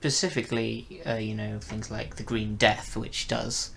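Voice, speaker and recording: A man with a gravelly, creaky voice hosts a relaxed review discussion. He steers the chat with questions and prompts. There is some faint noise in the background. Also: on the low side, a little slow. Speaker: host of an audio drama review show. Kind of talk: casual review chat with a co-host.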